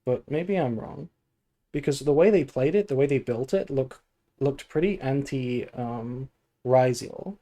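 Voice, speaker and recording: A sleepy man chatting casually on a game stream, musing aloud in a low-key way. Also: expressive, a little slow, mellow. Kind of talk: casual gameplay stream chat and deck analysis.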